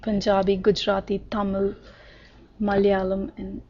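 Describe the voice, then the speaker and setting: A woman's voice: mid-range voice, monotone, normal speed, highs cut off, a little hiss or hum. A woman from northern India with an Indian accent, chattily answering interview questions at length. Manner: chatty, explains at length with fillers. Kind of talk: casual conversational answers.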